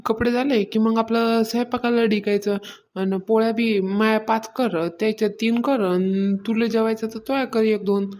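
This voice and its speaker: A woman's voice: a little high, steady tone, normal speed, a little mellow, slight background noise, close to an everyday voice. A woman voicing a bossy, stingy, self-important mistress of the house in a cartoon comedy, nagging in colloquial Varhadi Marathi. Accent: Varhadi Marathi, rural Maharashtra.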